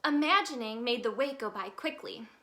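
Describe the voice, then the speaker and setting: A woman reading a children's picture book aloud as a storyteller, in an expressive, sing-song manner aimed at young children. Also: fairly high pitch, moderate pace. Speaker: storyteller in character as the Tooth Fairy.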